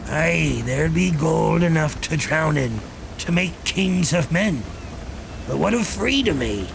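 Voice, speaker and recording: A cursed old pirate captain haunting the seas, spinning grim tales in a thick pirate dialect. His voice is gravelly and a little raised in pitch. He speaks very slowly and ominously, with theatrical grandeur. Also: lively intonation, background noise, heavily acted. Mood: ominous, challenging. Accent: pirate-style dialect. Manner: ominous, grandiose, deliberate rhetorical delivery. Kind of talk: theatrical scripted monologue.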